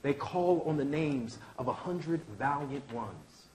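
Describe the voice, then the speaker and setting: A man's voice: fairly high pitch, animated, slow, a little mellow, slight background noise, poor audio. A man reading the part of an earnest, idealistic lawyer in a staged play, with a declamatory, oratorical delivery. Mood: stirring. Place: indoor launch event venue.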